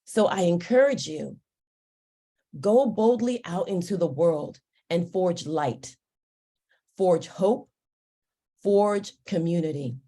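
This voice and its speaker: Slow, deliberate woman giving a speech. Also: lowish voice, expressive, warm tone. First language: Yoruba. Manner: slow, deliberate, uplifting delivery. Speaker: ceremony speaker. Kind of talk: formal commencement speech.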